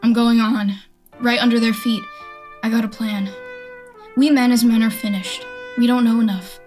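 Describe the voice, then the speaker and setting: A woman's voice: fairly high pitch, fairly even intonation, moderate pace, a little mellow, faint music behind, close to an everyday voice. A woman playing a defiant, survival-minded militia stranger in a radio play, talking in a blunt, folksy, speechifying way. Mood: fierce, scornful. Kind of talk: radio drama acting.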